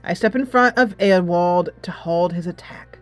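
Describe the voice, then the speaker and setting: A woman's voice: lowish voice, moderate pace, dry recording, faint music behind, close to an everyday voice. A woman voicing a righteous, zealous paladin from a fantasy game, reading dialogue aloud in a firm, declarative way. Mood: zealous, insistent.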